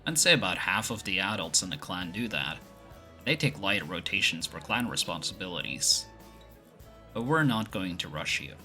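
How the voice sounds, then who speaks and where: A man's voice: sits low, very animated, normal speed, sharp tone, soft background music, exaggerated voice. A man acting in an audio roleplay as an easygoing, good-humored young second-in-command of a clan, speaking in a playful, conversational way. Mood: friendly.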